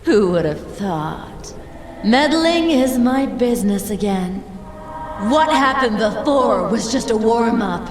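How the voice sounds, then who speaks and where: A high-pitched, menacing witch from a video game. She gloats theatrically and stretches out every taunt. Her voice rings in a long reverb with clearly noisy ambience behind it. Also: animated, slow. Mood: gloating. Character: menacing, taunting witch villainess, cackling and vengeful. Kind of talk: video game villain acting.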